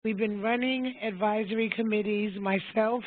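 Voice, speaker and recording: A woman presenting a webinar as a health plan's member advocate, her voice going hoarse, speaking in a measured way with fillers and small self-corrections. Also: medium pitch, steady tone. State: losing her voice. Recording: dry phone-line audio.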